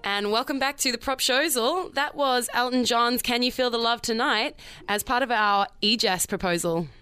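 A woman's voice: fairly high pitch, moderate pace, bright, forceful tone. A woman co-hosting a comedy radio show in a casual presenting style. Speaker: show co-host.

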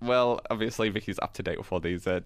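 A man's voice: fairly low pitch, fast talker, no echo. A man co-hosting a podcast in casual, comedic chat, telling stories in a joking, rambling way. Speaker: podcast co-host.

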